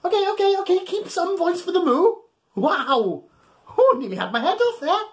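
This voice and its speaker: A man's voice: very high-pitched, very animated, normal speed. A man in his thirties reading a bedtime story to young children in a playful, exaggerated way, coaxing them to join in. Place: small furnished room at home, indoors.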